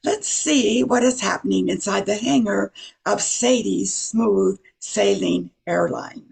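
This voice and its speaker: An older woman narrating a scripted radio play in a deliberate storytelling way. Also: mid-range voice, creaky, a little mellow, breathy edge.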